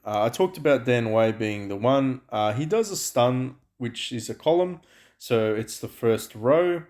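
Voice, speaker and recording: A man hosting a gaming channel, talking loudly and chattily through gameplay with many fillers and restarts. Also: mid-range voice. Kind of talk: casual gameplay commentary. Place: home room, night, dogs and frogs outside.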